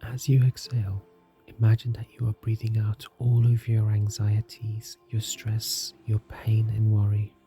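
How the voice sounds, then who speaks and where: A man leads a guided meditation in a soft, soothing, scripted narration, calm and gentle, leaving long unhurried pauses. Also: on the low side. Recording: music behind the voice. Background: soft music.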